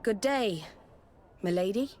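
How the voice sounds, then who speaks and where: A woman's voice: mid-range voice, animated, slow, a little mellow, slight background noise. An actress playing a commanding, shrewd female admiral in a video game, formal and assured in her authority. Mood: formal, knowing. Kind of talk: video game dialogue acting.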